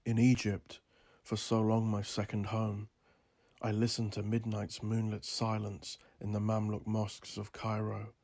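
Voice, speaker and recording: A man narrates in a low, heavily creaky voice, solemn and portentous. The delivery is scripted, dramatic storytelling with very little melodic movement, like an author reading a dark memoir. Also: completely flat delivery, a little slow.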